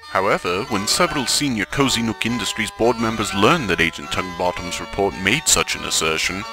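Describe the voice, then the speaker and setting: Deep-voiced solemn male radio announcer. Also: brisk. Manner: formal, solemn, portentous. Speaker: narrator, announcer. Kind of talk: scripted mock-serious old-time radio narration. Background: music.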